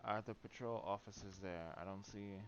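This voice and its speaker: A man's voice: lowish voice, normal speed. A man giving casual live commentary while streaming a video game. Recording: dull stream audio with noise.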